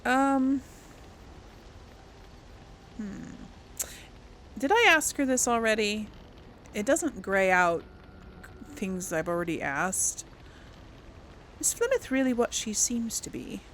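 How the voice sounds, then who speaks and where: A woman doing casual let's play commentary, musing aloud in a halting way. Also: fairly high pitch, lively intonation, a bit bright, slight background noise. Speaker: gaming channel host.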